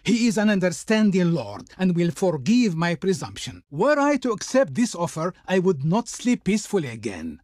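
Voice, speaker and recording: A male actor playing a pompous, fawning Egyptian envoy in a video game, speaking ceremoniously with reverent pauses, as if declaiming for his Pharaoh. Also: sits high, huge pitch swings, darkish tone, no room sound, studio quality. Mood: polite refusal. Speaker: video game diplomat character. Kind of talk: theatrical video game voice acting.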